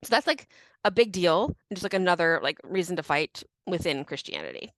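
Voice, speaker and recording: A woman's voice: on the low side, animated, a little fast, dry recording. Chatty young woman telling history. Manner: chatty, laughs often, many "like" fillers. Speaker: podcast co-host. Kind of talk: casual history storytelling.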